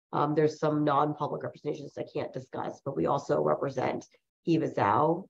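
A woman in her forties with a low voice edged with vocal fry, speaking as a lawyer whose first language was Chinese. She tells her stories reflectively, circling back and correcting herself as she goes. The recording sounds muffled and lo-fi. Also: darkish tone. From New Jersey, USA. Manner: reflective, rambling, with many self-corrections. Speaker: lawyer, guest. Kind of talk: personal storytelling in an interview. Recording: dull lo-fi recording.

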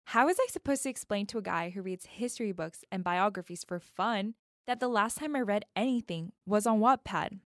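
A woman's voice: mid-range voice, a little fast, few pauses, fairly bright tone. A young woman hosting a podcast with scripted, confiding and playfully dramatic personal narration. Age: young adult. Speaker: podcast host.

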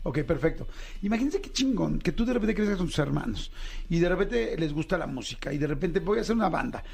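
A man's voice: fairly high pitch, sing-song, quick pace, gravelly, darkish tone, husky, faint music behind. A man from Mexico City with a Mexican Spanish accent, hosting a casual interview in a chatty, slangy, enthusiastic way. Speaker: podcast host.

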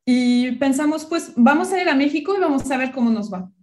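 A woman from France with a French accent, a research biologist being interviewed on the radio, friendly and quick to laugh. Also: high-pitched, expressive, darkish tone. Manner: friendly, laughs easily, some restarts.

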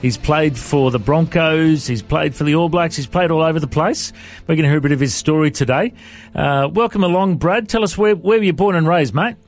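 A man's voice: fairly high pitch, fairly quick, faint music behind. A man, hosting a casual interview in a chummy, matey way. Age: 40s. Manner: chummy, calls guest mate and brother. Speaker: podcast host.

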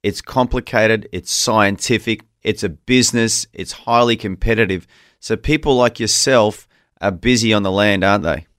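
A man's voice: lowish voice, moderate pace, a bit bright, dry recording, clean studio sound. An Australian man working as a radio interview host, speaking in an affirming, conversational way that prompts his guest.

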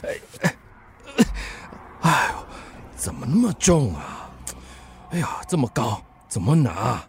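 一位男演员在广播剧里饰演忙碌疲累、爱嘟囔的送货员丈夫，带新加坡口音，不时哎哟叹气。. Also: male voice, mid-range voice, very animated, slow. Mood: straining. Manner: grumbling, straining, sighing exclamations. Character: harried deliveryman husband, grumbling and overworked. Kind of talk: radio drama monologue. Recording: clear noise behind the voice.

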